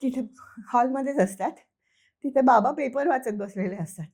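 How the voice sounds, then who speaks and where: A woman's voice: sits high, normal speed, darkish tone. A woman storyteller narrating informally for children, conversational, addressing listeners and repeating words for effect.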